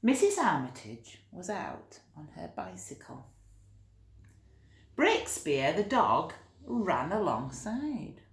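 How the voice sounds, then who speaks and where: A woman reading a picture book aloud to young children, expressive, with chatty asides and gentle explanations. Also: lowish voice, slow. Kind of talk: animated read-aloud storytelling with chatty asides. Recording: roomy, echoey room sound.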